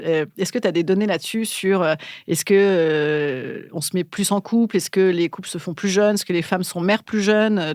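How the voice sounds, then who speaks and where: A woman's voice: mid-range voice, no room sound, clean studio sound. A woman from Cantal hosting a podcast, asking long, rambling questions in a self-deprecating, giggly way. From Cantal, France. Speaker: podcast host. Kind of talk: casual interview conversation.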